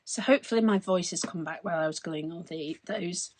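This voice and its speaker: Hoarse woman talking through a craft project. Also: lowish voice, brisk, darkish tone, no echo. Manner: chatty, explains as she works. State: losing her voice, hoarse. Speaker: craft tutorial host. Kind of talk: casual step-by-step crafting demonstration. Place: craft desk indoors, overhead camera.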